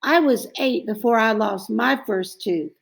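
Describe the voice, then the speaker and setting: A woman's voice: on the high side, a little slow, creaky. A woman voicing a kindly, reassuring male children's dentist in a storybook.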